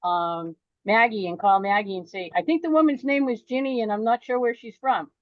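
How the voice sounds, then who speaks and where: A woman's voice: a little high, fairly quick, dry recording. A woman from near Boston speaking up on a video call, frank and wryly talkative. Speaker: participant. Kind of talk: casual video call discussion. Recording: dull dry video call audio.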